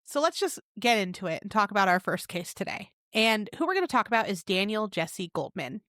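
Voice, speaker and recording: A woman co-hosting a podcast, telling a crime case in a chatty way with quick asides. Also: medium pitch, very animated, fairly bright tone, no echo, clean studio sound. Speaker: podcast co-host. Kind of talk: casual true crime chat.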